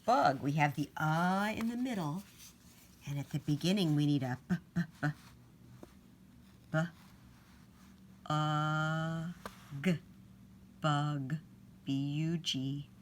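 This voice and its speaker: Slow, low-pitched woman teaching young children. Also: slight background noise. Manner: sounds out letters and words deliberately. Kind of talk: storybook read-aloud and phonics lesson.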